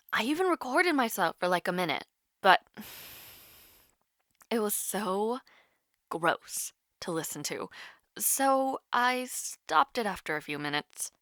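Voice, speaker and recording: A woman's voice: medium pitch, fairly bright tone, no echo, studio quality. A woman voice-acting a nervous, playful young woman on a call with her online crush, hesitant and teasing in an intimate audio roleplay. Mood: awkward, self-conscious.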